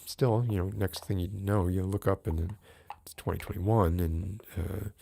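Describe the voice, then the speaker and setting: Late-40s guy on his stream, deep low voice, slow and rambly, wry, says hi to chat. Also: steady tone, vocal fry, mellow. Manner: greets viewers in chat by name. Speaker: livestream host. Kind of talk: casual livestream chat.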